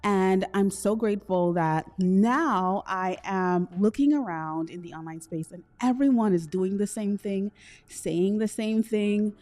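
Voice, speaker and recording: A woman from Trinidad hosting a solo podcast, talking in a chatty, expressive way with slangy asides. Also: medium pitch, measured pace. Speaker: podcast host. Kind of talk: solo podcast, casual self-reflection.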